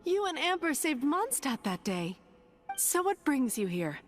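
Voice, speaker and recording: Lively, high-voiced, prim nun. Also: female voice, unhurried. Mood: polite, guarded. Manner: formal, courteous, dismissive. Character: polite, dutiful, firm cathedral nun. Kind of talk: video game cutscene acting. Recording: music under the voice.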